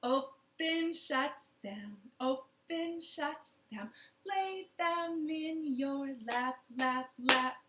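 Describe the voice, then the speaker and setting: A woman's voice: sits high, drawn-out words, roomy sound, heavy background noise. A woman leading a children's storytime from her living room, playful and sing-song with rhymes and silly voices.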